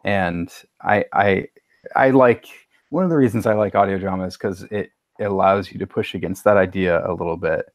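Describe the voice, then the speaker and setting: A man's voice: low-pitched, moderate pace, no room sound, clean studio sound. A man giving his opinions casually as a panelist on a podcast, with tentative starts and blunt views.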